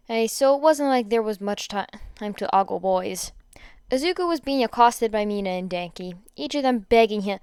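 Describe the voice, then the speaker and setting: A woman reading fan fiction aloud in an informal, expressive way, stumbling over words now and then. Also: fairly high pitch, clean tone, no room sound, clean studio sound. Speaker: podfic reader. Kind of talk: amateur fan fiction reading with chatty asides.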